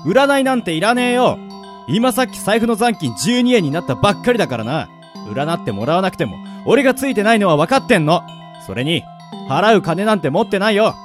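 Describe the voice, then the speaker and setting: A man playing a broke, grumbling, short-tempered young man in an amateur radio drama, with rough, casual speech. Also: high-pitched, sing-song, fairly quick, faint music behind. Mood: irritated.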